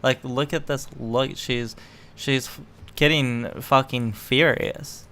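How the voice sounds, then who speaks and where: A man's voice: medium pitch, steady tone, slow pace, fairly bright tone. A man giving casual, opinionated reaction commentary in a mocking, sweary way. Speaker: online political commentator.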